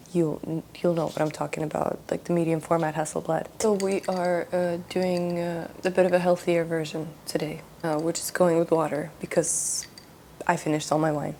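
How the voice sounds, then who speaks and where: A woman's voice: on the low side, gravelly, mellow, slight background noise. A young woman from Russia, exhausted after a late shift, musing casually while she paints, with loose restarts and fillers. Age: young adult. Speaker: art vlogger.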